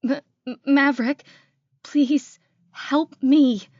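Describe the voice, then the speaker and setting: Trembling, terrified young woman, high-pitched narrator's portrayal. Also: fairly even intonation, slow pace, darkish tone, dry recording, lo-fi sound. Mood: panicked, pleading. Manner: trembling, stammering. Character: terrified, pleading young woman stalked by a werewolf. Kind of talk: audiobook character acting.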